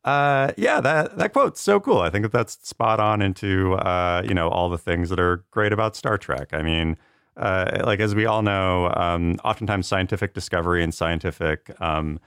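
Deep voiced guy guest on a podcast, chatting loose, lots of like and you know. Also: animated, moderate pace, creaky, fairly bright tone, smooth, dry recording, clean studio sound. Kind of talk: casual chat.

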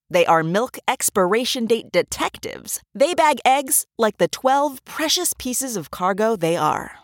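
A woman's voice: medium pitch, dry recording, clean studio sound. A woman reading a scripted ad in a playful, bouncy way. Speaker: ad announcer. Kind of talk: scripted ad read.

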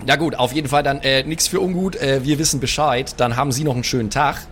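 A man's voice: medium pitch, fast, a bit bright, slight background noise. A man playing in an improvised audio role-play, talking in a casual, chummy way in character. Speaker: player in a role-play show.

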